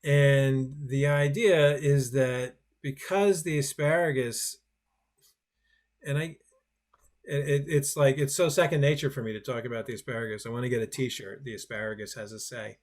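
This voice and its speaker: A man leads an online workshop over a video call at a mid pitch. He talks loosely and conversationally, with a self-deprecating touch. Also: steady tone, normal speed. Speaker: workshop host. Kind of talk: webinar presentation.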